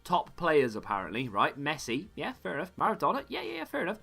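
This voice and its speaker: Gaming host, man in his thirties, bright tone, slightly hoarse, rambling and self-correcting, faint music behind. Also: on the high side, very animated, quick pace. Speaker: gaming YouTuber. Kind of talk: casual gaming commentary.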